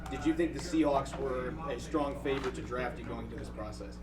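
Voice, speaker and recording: A man's voice: mid-range voice, steady tone, fairly quick, over a noisy crowd. A man asking a press question as a sports reporter, heard from a distance. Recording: distant conference line.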